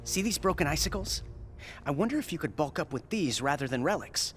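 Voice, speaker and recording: A man's voice: on the high side, big pitch swings, a little fast, soft background music. An actor playing an eager, curious teenage boy sidekick in a video game, youthful and earnest, in a reverberant space. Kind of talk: video game cutscene acting.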